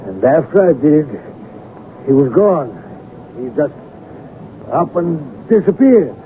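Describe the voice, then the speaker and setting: An actor playing a bewildered man haltingly recounting something baffling in a 1970s radio drama. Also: a little high, sing-song. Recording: tinny, noisy 1970s radio recording.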